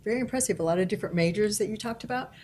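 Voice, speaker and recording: A woman at mid pitch prompts the students to share their internships, keeping her questions loose and encouraging. Faint noise sits behind her. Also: racing, a little mellow. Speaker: panel moderator.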